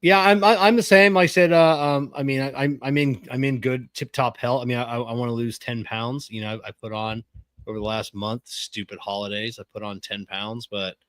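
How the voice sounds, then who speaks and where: A man in his forties chats in a relaxed, easygoing way, stumbling and restarting as he goes and leaning on "you know". His voice sits at a middle pitch. The recording is dry and close-miked. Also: fairly quick, dry recording. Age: 40s. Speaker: podcast co-host. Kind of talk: casual chat.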